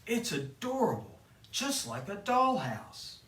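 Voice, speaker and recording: A man voicing a gentle young princess who speaks softly and sweetly. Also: high-pitched, huge pitch swings, takes it slowly, voice pushed up, exaggerated voice. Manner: soft, sweet. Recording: roomy, mic at a distance.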